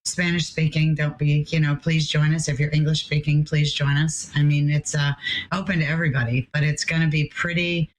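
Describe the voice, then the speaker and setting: A woman with a raspy, hoarse voice, chatting casually as a guest tour coordinator and often pausing on fillers. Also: deep voice, flat delivery, poor audio. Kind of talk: casual interview chat.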